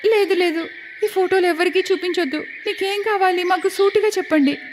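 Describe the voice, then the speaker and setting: Lady doing a high anxious young daughter-in-law from a cartoon, earnest, pleading. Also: moderate pace, long reverb tail, noisy background. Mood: frightened. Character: timid young village daughter-in-law, brave at heart.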